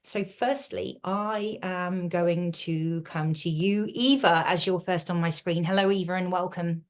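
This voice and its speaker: A woman's voice: on the low side, measured pace. A woman speaking from her home office on a video call, moderating a panel and talking with many hesitations and restarts. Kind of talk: online panel hosting.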